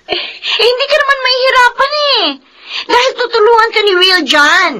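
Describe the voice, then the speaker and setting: A woman's voice: expressive, moderate pace, pushed and bright, exaggerated voice. An actress in a radio play voicing a materialistic young wife who scolds and mocks her husband. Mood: pleading angry. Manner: shrill, scolding. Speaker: voice actor. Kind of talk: radio drama acting.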